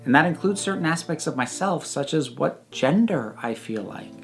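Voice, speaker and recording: A speaker in their thirties giving a prepared personal reflection, with measured, emphatic phrasing and deliberate pauses. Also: medium pitch, animated, soft background music. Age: 30s.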